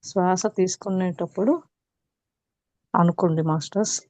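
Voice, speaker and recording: A woman with a Telugu accent leading a guided meditation as a teacher, calm and unhurried, with long pauses. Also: lowish voice. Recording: muffled, echoey room recording.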